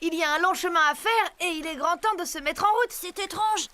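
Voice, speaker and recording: A woman voicing a boastful, cheerful young boy sailor hero in an animated cartoon, breezy and self-assured. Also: very high voice, fairly quick. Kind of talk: animated cartoon dub acting.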